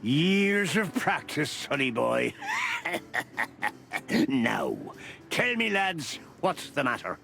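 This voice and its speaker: A man's voice: mid-range voice, big pitch swings, very slow, vocal fry, pauses often, music in the background, heavily acted. A man playing a jovial old beaver who sells newspapers in a noir adventure game, folksy and avuncular with a chuckling manner. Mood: amused, welcoming.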